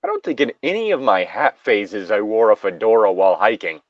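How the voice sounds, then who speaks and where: A man with a very low voice reads and chats casually as a co-host, dropping joking asides. The sound is close and dry, with a slightly dull, lo-fi edge. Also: expressive, brisk, no echo. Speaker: co-host of a story reading show. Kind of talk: casual reading and banter.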